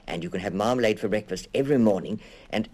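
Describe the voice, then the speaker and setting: Man as kindly storybook wife, low-pitched, brisk, excitable, exclamatory, warm-hearted. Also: lightly acted. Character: kindly, excitable middle-class wife and mother. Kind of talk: children's audiobook character voice.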